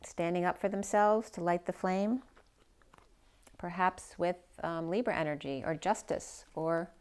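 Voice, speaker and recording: A woman's voice: on the low side, measured pace, darkish tone, smooth. A woman giving a casual tarot reading who is losing her voice. She thinks aloud, trails off and restarts her sentences. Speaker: tarot reader.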